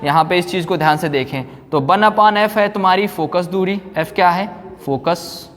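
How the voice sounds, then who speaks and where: A man's voice: high-pitched, normal speed, fairly bright tone. A man teaching physics in a didactic way, often asking questions and answering them himself. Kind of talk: classroom lecture, explaining formulas. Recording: echoey hall-like reverb.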